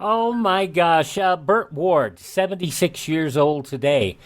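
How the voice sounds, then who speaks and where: A man's voice: on the high side, lively intonation, slow. A man hosting a radio show, reading items and announcements in a presenter's style. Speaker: radio host.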